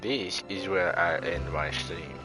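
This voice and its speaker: A man's voice: low voice, fairly even intonation, takes it slowly. A man chatting casually as a live streamer, rambling and swearing in loose slang. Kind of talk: casual gaming livestream chat. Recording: game music behind the voice. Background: video game music.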